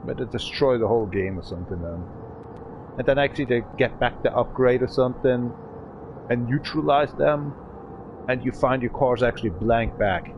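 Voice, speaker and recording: A man's voice: mid-range voice, normal speed, lo-fi sound, noisy background. A man in his thirties streaming a game, rambling and swearing in a frustrated way. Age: 30s. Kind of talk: casual gaming stream chat.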